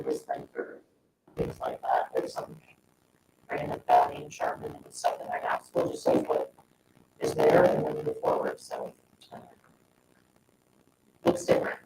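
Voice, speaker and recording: Male meeting reporter, creaky and highly animated pitch, heard through heavy noise. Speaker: parks staff member giving updates. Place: indoor town board meeting room. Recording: very noisy, echoey room, distant mic.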